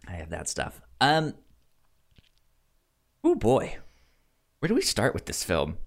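A man hosting a podcast, chatting casually about a film in a halting, stammering way that often repeats words. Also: mid-range voice, huge pitch swings, creaky. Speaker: podcast host.

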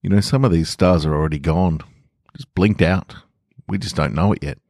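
A man hosting a podcast, reading a short story slowly and reflectively and signing off casually. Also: low-pitched, no room sound, studio quality. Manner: slow, quiet, reflective reading. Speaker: podcast host and story reader.

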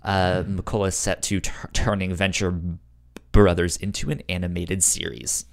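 A man's voice: low-pitched, level tone, moderate pace, gravelly. A man chatting casually as the host of a podcast.

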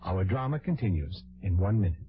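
A man's voice: deep voice, expressive, a little fast, a little hiss or hum. A man reading a scripted promotional announcement as a radio announcer. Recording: dull vintage radio broadcast.

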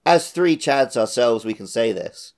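A man's voice: medium pitch, animated, a little slow, a little mellow. A man co-hosting a casual video game podcast, sometimes repeating words as he thinks aloud. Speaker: podcast co-host.

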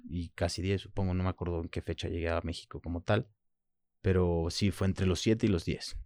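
A man in his thirties with a Mexican Spanish accent, French as his first language, speaking as an interview guest in a reflective, halting way, often pausing with fillers. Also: deep voice, level tone, a little mellow. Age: 30s. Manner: reflective, halting, frequent "pues" and "¿sabes?". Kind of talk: personal interview.

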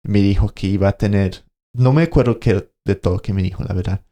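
Guy cohosting a podcast, low warm voice, keeps stopping to hunt for words. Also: fairly quick. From Colombia. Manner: hesitant, searches for words, restarts. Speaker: podcast co-host. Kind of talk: casual conversational storytelling.

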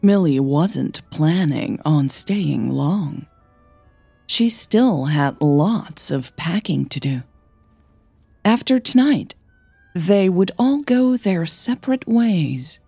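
A woman's voice: on the low side, expressive, takes it slowly, gravelly, warm tone, husky, no room sound, faint music behind. A woman hosting a fiction podcast, giving announcements and narrating stories.

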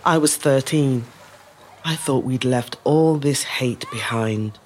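Slow-speaking, reflective middle-aged woman storyteller. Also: very deep voice, a little mellow, slight background noise. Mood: reflective, pained. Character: resilient middle-aged Zimbabwean-British woman recalling her youth. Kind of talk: scripted dramatic monologue, first-person storytelling.

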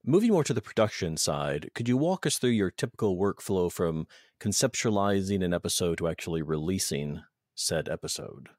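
A man's voice: low voice, animated, a little fast, darkish tone, no room sound, clean studio sound. A man hosting a podcast interview, asking questions in a casual, thoughtful way. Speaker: podcast host.

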